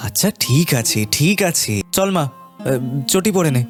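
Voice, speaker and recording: A man voicing a worried, gentle village farmer in a cartoon, hesitant. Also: a little high, expressive, measured pace, music in the background. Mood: resigned, gentle. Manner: anxious, hesitant, repeating words. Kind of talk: cartoon voice acting.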